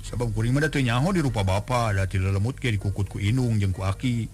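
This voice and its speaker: A man's voice: on the low side, fast talker, a little mellow, natural voice. A man doing an earnest young hero. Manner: earnest, plain-spoken.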